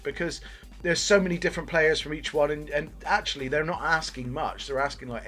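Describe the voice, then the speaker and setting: A man's voice: medium pitch, a bit bright. A man from Bristol with a local accent, giving rambling, self-deprecating, mildly sweary commentary as host of a gaming video. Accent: Bristol English. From Bristol, England. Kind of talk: casual video game commentary.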